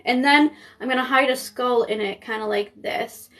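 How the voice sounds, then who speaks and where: A young woman from Maine talks through a live stream in a loose, rambling way, peppered with fillers. Her voice sits a little high. She sounds like she is chatting from home into a phone. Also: moderate pace, a bit bright. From Maine, United States. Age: 20s. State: pregnant. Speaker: makeup artist streaming live. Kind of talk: casual live-stream chat.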